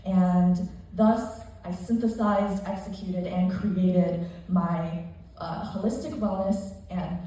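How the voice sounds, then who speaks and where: A woman's voice: mid-range voice, mellow. A young woman giving an earnest, rehearsed motivational speech at a conference, stressing her points in rhetorical lists. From United States, immigrated at seven. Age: young adult. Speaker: conference speaker. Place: large conference hall. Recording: echoey hall from far off.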